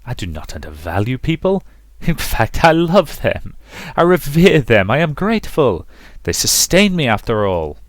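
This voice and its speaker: A man voicing an ancient, callous male predator who feeds on people, talking in a smooth, condescending, amused way. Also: mid-range voice, big pitch swings, moderate pace, few pauses, dry recording, pitched above the performer's own voice, close to an everyday voice. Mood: silky. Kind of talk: visual novel voice reading.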